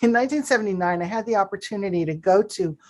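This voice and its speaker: A woman raised in Pakistan, answering interview questions in a reflective, measured way with frequent fillers. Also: mid-range voice. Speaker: guest.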